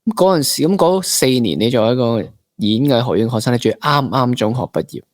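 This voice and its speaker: A man's voice: medium pitch, expressive, fast, no room sound, clean studio sound. A young man with a Hong Kong Cantonese accent, interviewing a guest in a conversational style as a podcast host.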